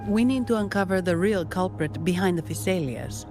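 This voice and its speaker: Cool composed game noblewoman, low voice, bit disdainful, music under. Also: expressive, moderate pace. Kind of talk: video game voice acting.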